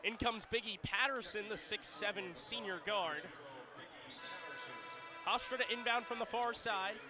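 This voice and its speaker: High-pitched man calling radio basketball. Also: unhurried, music in the background. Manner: clipped, descriptive phrases, punching the big plays. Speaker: basketball play-by-play announcer. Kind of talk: radio sports play-by-play commentary. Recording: noisy arena with bouncing basketballs.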